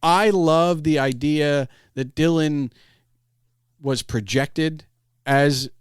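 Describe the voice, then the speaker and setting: A man's voice: on the high side, very slow, smooth, no echo, clean studio sound. A middle-aged man in casual podcast conversation, rambling and digressive, often breaking off his clauses to start another. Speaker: podcast host.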